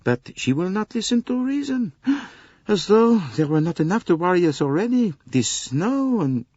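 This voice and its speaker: Flustered French train conductor, swooping pitch. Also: male voice, big pitch swings, normal speed, darkish tone, no echo, lo-fi sound. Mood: exasperated. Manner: dramatic, put-upon.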